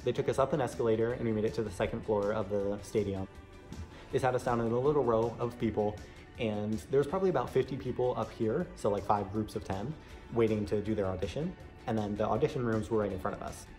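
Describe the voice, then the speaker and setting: A man talks quickly in a casual vlog style, recounting his own experience. His voice sits a little on the low side, and his delivery is chatty and conversational, with music playing behind him. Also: warm tone. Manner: chatty, frequent "like" asides. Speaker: vlogger recounting an audition. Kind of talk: casual first-person vlog storytelling.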